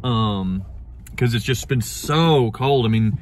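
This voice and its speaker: A man's voice: medium pitch, slow pace, a little hiss or hum. A young man chatting casually to a phone camera from inside a truck, laid-back and rambling with lots of fillers. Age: young adult. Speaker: vlogger. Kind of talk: casual chat. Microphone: phone at arm's length.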